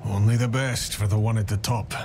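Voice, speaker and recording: An actor playing a weary, guilt-ridden soldier in a game, gruff but friendly, with wry, understated delivery. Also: male voice, lowish voice, steady tone, fairly quick, over music, lightly acted. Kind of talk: dramatic game dialogue.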